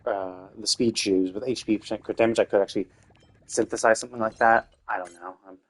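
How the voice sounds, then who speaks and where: A man's voice: fairly low pitch, fairly even intonation, brisk. Easygoing man thinking aloud. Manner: thinking aloud, rambling, many restarts. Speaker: game streamer. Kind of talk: casual live-stream commentary while playing.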